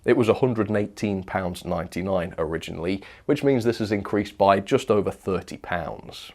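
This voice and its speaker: Low-voiced British man reviewing products. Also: normal speed, darkish tone. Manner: opinionated, conversational, tag questions and asides. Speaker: presenter of a model train review channel. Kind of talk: product news commentary, casual review.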